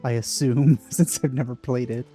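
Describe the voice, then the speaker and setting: A woman giving casual, low-key gameplay commentary, musing aloud as she reacts. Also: steady tone, normal speed, dark tone, soft background music. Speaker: gamer reacting to a playthrough.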